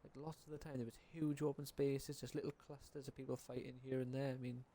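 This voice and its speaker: Young male gaming creator: soft dark tone, informal rambling opinions, mid pitch, faint noise behind. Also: level tone, brisk. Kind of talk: casual unscripted game commentary.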